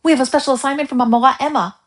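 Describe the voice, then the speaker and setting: A woman voicing an eager, excited young boy in a children's storybook. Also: sits high, racing, voice pushed up, natural voice. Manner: excited, urgent.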